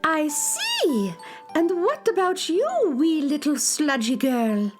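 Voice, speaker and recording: A woman playing a kindly, chirpy female forest pixie in a children's radio drama, explaining things in a gentle, storybook lilt. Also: high voice, huge pitch swings, takes it slowly, music in the background, heavily acted. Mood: friendly, chatty.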